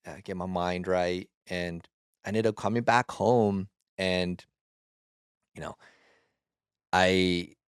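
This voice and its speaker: Deep, smooth-voiced man in his twenties. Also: no echo, clean studio sound. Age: 20s. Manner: relaxed, halting, with frequent restarts. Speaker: podcast guest. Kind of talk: casual storytelling.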